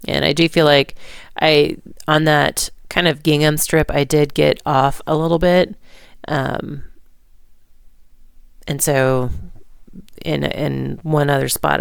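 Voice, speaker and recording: A woman narrating a card-making tutorial in relaxed, rambling steps, hoarse and stuffy from a cold. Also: sits low, a little slow, no echo, clean studio sound. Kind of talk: voice-over craft tutorial.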